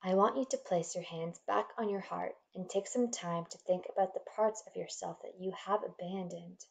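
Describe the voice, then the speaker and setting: A woman leading a guided meditation in a gentle, soothing, measured manner, leaving pauses between instructions. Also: lowish voice, steady tone, vocal fry.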